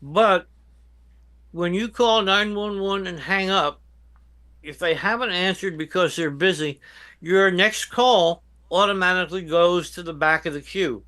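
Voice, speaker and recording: An older man with an American accent giving measured, emphatic advice as an expert guest in an interview. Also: sits high, bright, forceful tone. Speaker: guest expert, veteran emergency dispatch professional. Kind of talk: interview answers, explaining and advising.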